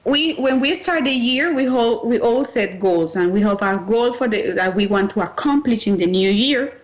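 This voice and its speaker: Cuban-born woman coach, earnest and repetitive, slightly high pitch. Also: expressive, normal speed, low-quality recording. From Cuba. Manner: earnest, repeats and restarts phrases. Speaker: personal development coach. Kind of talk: webinar presentation.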